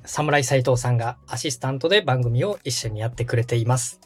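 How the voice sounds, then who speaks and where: A man's voice: mid-range voice, brisk, slightly rough, soft background music. A man in his thirties from Osaka hosting a podcast, explaining things politely, patiently and step by step. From Osaka, Japan. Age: 30s. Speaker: podcast host, radio director.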